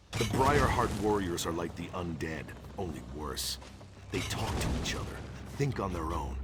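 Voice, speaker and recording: Proud orc soldier played by a man, low and measured, grimly skeptical; game dialogue over loud battlefield din. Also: close to an everyday voice. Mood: disgusted, uneasy. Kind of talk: video game character dialogue. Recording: loud battlefield noise behind the voice. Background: battle ambience with artillery.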